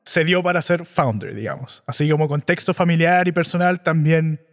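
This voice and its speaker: A man with a Chilean accent, a startup founder, telling his story in a relaxed, reflective interview. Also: on the high side, moderate pace, in a large hall. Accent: Chilean Spanish. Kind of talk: conversational interview.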